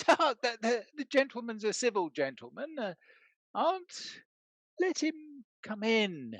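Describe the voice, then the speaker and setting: Bumbling, animated country knight acted by a man. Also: very high-pitched, slow, no echo, exaggerated voice. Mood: bashful, friendly. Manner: hesitant stammering, rustic bluster. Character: bumbling, good-natured country bumpkin knight. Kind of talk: scripted stage comedy acting.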